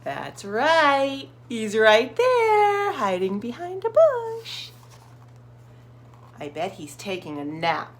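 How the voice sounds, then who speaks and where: A woman reading a storybook aloud to young children, expressive and encouraging, putting questions to her listeners. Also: a little high, slow pace.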